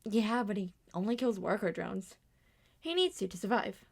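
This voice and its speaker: Woman voicing an edgy young robot girl, animated delivery. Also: medium pitch, moderate pace, creaky. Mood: skeptical, blunt. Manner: blunt, sardonic, dismissive. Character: edgy, suspicious young female robot detective. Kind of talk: amateur roleplay audio drama.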